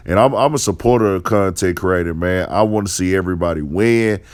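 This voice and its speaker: Deep, smooth-voiced man hosting casual commentary. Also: brisk, a little mellow. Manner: slangy, conversational, repeats "you know". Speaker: host of an online commentary show.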